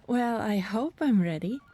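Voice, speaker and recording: An eager young-sounding applicant, acted by a woman, chats politely in a conversational roleplay. Her voice is soft, mellow and breathy. She comes across as nervously friendly and a bit hesitant. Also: on the high side, exaggerated voice. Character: eager, polite, slightly flustered female job applicant. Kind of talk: ASMR roleplay acting, conversational.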